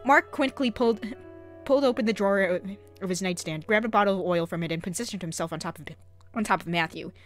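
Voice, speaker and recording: Woman streamer, mid pitch, giggly and flustered amateur reader, chatty asides, music box music behind. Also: fast. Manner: giggly, flustered, stumbles over words. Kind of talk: reading aloud own fan fiction to viewers, with chatty asides.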